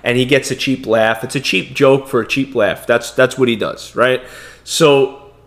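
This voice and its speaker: A man's voice: mid-range voice, fairly even intonation, measured pace. A man from Brooklyn, New York, with a Brooklyn accent, co-hosting a podcast in blunt, heated casual talk. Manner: blunt, heated, repeats words. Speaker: podcast co-host. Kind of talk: casual opinionated chat.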